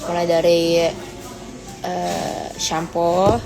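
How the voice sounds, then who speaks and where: A woman's voice: little pitch movement, drawn-out words, vocal fry, rough recording. A teenage girl hosting a casual phone livestream, reading comments and teasing her viewers playfully. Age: teens. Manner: teasing, playful, rises high when mock-outraged. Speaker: livestream host.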